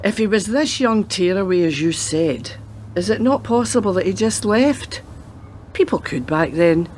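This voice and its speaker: Actress doing older ex-cop gran, radio play, dry measured sceptical delivery, bit of noise behind. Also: female voice, medium pitch, animated, a little mellow, close to an everyday voice. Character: retired policewoman grandmother, world-weary, sceptical, dry.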